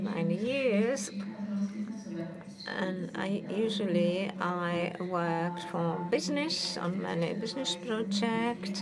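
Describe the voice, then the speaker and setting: A woman giving a formal conference talk remotely over a video call, heard amid a loud audience. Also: lowish voice, gravelly. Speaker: speaker joining a conference remotely. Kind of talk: conference presentation. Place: hospital room, speaking into a conference hall.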